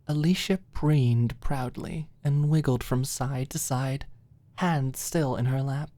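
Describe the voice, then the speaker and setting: Very low-voiced, slow woman narrating. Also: warm tone, dry recording. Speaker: audiobook narrator. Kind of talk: scripted story narration, ASMR audiobook.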